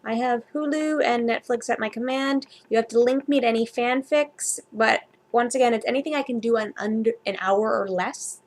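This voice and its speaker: A woman's voice: fairly high pitch, moderate pace, few pauses. A woman vlogger chatting casually and reading a story aloud informally, sometimes stumbling over her words.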